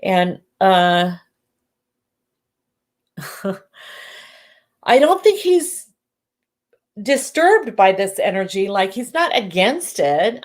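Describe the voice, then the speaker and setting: A woman doing live psychic readings who sounds congested and talks in halting bursts, often restarting and correcting herself. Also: mid-range voice. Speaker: psychic medium. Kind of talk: live-stream readings, casual chat.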